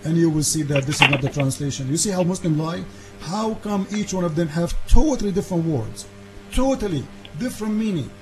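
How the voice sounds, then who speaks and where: A man whose first language is Arabic hosts a call-in debate, insistent and argumentative, speaking broken English and cutting in with quick repeats. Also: on the high side, animated, music in the background, poor audio. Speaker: debate show host.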